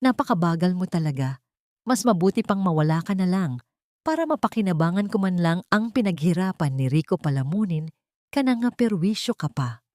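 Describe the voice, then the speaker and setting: Woman narrator as a cruel, scheming woman, lowish voice. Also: lively intonation, brisk, darkish tone, dry recording, clean studio sound, natural voice. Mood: contemptuous rage. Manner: harsh, contemptuous, commanding. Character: cruel, scheming, abusive younger woman of the house. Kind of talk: storybook villain dialogue.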